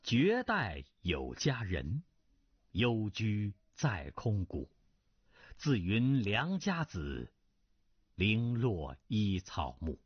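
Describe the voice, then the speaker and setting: A man voicing a sorrowful middle-aged nobleman full of regret, speaking low and soft as he reads a martial arts novel aloud. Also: huge pitch swings, extremely slow, slightly rough, dry recording, heavily acted. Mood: wistful reciting. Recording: dry, slightly dull lo-fi recording.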